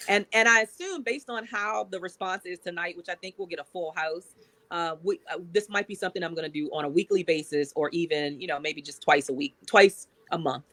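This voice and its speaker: A woman's voice: fairly low pitch, fairly quick, fairly bright tone, dry recording. A woman with an American accent speaking as a guest in a motivational, emphatic way. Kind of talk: motivational interview talk.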